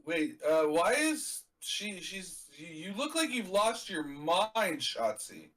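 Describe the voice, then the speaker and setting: A young man from Canada, co-hosting a live reaction stream in a blunt, animated, casual way, swearing now and then. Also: high voice, slow pace, distant mic.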